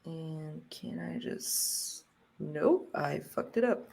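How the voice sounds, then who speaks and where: A woman's voice: low-pitched, animated, drawn-out words, gravelly, a little mellow, rough recording. A Brazilian woman with a Brazilian accent, a native Portuguese speaker, hosting a casual live stream, laughing often and swearing lightly. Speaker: stream host.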